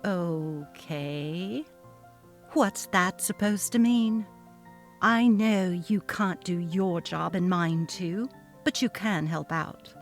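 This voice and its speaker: A woman's voice: lowish voice, slow pace, soft background music. A woman voicing a polite, guarded female office worker in a dramatically read story, restrained and plain-spoken. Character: polite, guarded female office employee under a bullying boss. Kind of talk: dramatic reading of a text-message story.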